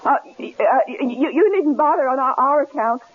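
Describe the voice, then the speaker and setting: An actress playing a headstrong, inquisitive wife who is easily shaken, in an emphatic 1970s radio drama style. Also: female voice, sits high, animated, brisk, crowd noise. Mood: uneasy, defensive. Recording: dull, noisy old radio broadcast recording.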